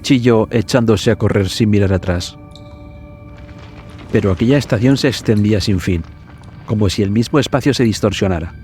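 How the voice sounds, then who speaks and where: A man narrates a horror tale in a low, dark, mellow voice. His storytelling is measured and dramatic, as in a scripted suspense podcast, with music underneath. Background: background music.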